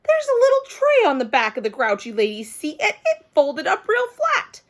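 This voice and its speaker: Storybook role: excitable, mischievous little girl, played by a woman, high and swooping, exuberant and emphatic. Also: moderate pace. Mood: eager. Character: excitable, chatty, mischievous little girl narrating her plane trip. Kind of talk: animated children's storybook reading.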